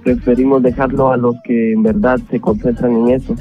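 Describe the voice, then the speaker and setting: A man's voice: mid-range voice, fairly quick, faint music behind. A man from Guatemala, a musician guest, chatting casually in a radio interview with many fillers. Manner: relaxed, conversational, many fillers. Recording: tinny phone line.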